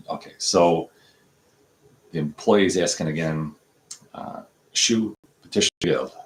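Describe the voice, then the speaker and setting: A man in his fifties giving a casual, halting practice lesson, breaking phrases down word by word with many pauses and fillers. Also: extremely low pitch. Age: 50s. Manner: slow, halting explanations with many fillers, breaks words down piece by piece. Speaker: language learner teaching from a textbook dialogue.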